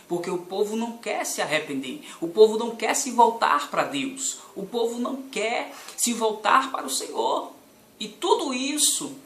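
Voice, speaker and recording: A young Bahian deacon preaches with a bright, forceful edge to his fairly high voice. He talks earnestly and repeats himself, rising from low, calm speech to fervent emphasis. The room is echoey, with faint ambience behind him. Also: a little hiss or hum. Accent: Bahian Brazilian Portuguese. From Brazil, Salvador, Bahia. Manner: earnest, repetitive, builds from quiet to fervent. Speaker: deacon and evangelist. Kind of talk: informal preaching to camera.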